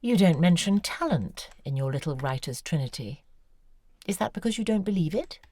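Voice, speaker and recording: An actress voicing a poised, probing woman novelist in an audio drama, speaking in a measured, wry way. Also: lowish voice, lively intonation, dark tone. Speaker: author character.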